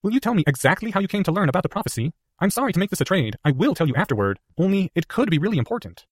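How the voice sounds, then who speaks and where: Young boy wizard, higher voice, quick and earnest, as a male reader plays him. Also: sing-song, no room sound, studio quality.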